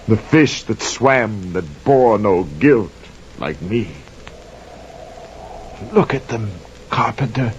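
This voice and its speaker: An actor playing a guilt-haunted sailor who narrates his cursed voyage in anguished, poetic radio drama style. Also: on the low side. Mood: reverent. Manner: theatrical, poetic, anguished declaiming. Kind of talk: 1950s radio drama acting. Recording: muffled vintage radio recording, faint noise, rain.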